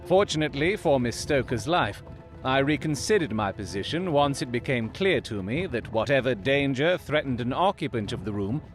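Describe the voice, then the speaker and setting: A man performs a self-assured Victorian detective for an audio drama. He is coolly analytical and explains in precise, formal, theatrical phrases at a middling male pitch, with music underneath. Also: expressive, moderate pace, close to an everyday voice. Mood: assured, explanatory.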